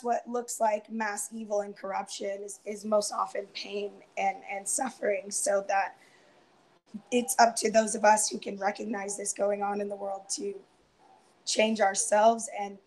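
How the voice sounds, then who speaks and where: A young woman in her twenties talks from home over an earphone headset on a video call. She speaks softly and haltingly, full of ums and restarts. Her voice is wearing thin and turning hoarse. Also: medium pitch, a little hiss or hum, rough recording. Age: 20s. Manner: soft-spoken, hesitant, many ums and restarts. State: losing her voice. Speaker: guest on a live round-table stream. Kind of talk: casual personal sharing. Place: indoors, home room.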